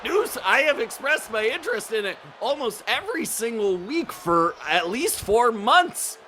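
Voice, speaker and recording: Male color commentator, high lively voice, sarcastic jokes, noisy mic. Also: unhurried. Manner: sarcastic, jokey, mock-indignant. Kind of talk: comedic wrestling commentary.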